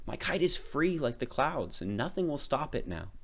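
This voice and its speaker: A man's voice: medium pitch, very animated, normal speed, voice pushed up. A man voicing an earnest, dreamy builder in a storybook read-aloud, speaking with wonder. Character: Yoshi, earnest, dreamy male boat and kite builder.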